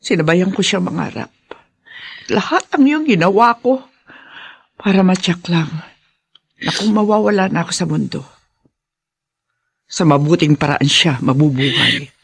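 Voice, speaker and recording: A woman's voice: fairly low pitch, animated, moderate pace, vocal fry, long pauses, breathy edge, full character voice. A Filipino woman playing a stern, wounded older mother-in-law in a radio drama, emotional and reproachful. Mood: pained, earnest. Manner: reproachful, emotional, sharp-tongued.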